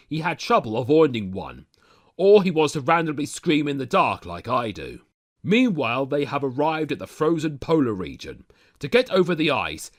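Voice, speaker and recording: A man's voice: fairly high pitch, fairly bright tone. A man narrating a scripted comic story recap, dropping sardonic asides to the listener. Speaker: narrator of a fan audio story.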